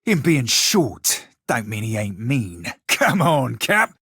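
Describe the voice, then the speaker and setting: A man voicing a roguish, irreverent pirate sailor in a fantasy video game, sardonic and bantering in archaic nautical slang, with a husky, gravelly voice. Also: medium pitch, wildly swooping pitch, slow, fairly bright tone, no room sound, clean studio sound, heavily acted. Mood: rousing, bold. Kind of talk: video game voice lines, banter and fight barks.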